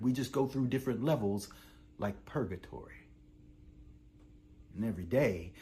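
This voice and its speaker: A weary, defiant imprisoned revolutionary. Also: male voice, medium pitch. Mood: somber. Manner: deliberate oratorical delivery swinging from hushed to impassioned. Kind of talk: dramatic theatrical monologue, letter read aloud.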